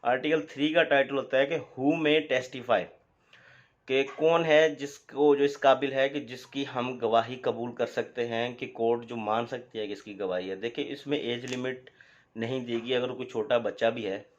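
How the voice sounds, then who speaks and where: Pakistani guy teaching like a lecture, asks then answers his own questions, normal pitch, kinda muffled lo-fi audio. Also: fairly even intonation, normal speed. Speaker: law lecturer. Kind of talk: explanatory lecture, mixing Urdu with English legal terms. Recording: dull, lo-fi sound.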